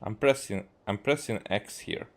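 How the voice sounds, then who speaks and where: A man from Hungary with a Hungarian accent gives casual, halting gameplay commentary, half to himself as he streams. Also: lowish voice, a little hiss or hum. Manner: halting, muttering to himself while playing. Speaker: game streamer. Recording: game music behind. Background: video game music.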